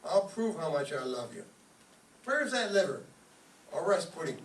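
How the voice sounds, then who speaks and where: A man's voice: on the high side, very animated, moderate pace, vocal fry. Echoey, distant devoted husband played by a man. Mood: determined, playful. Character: loving husband, sentimental then stubbornly devoted, comic radio sketch. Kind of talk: old-time radio comedy acting, live on stage. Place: live staged show in a hall. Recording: echoey hall, distant mic, faint noise.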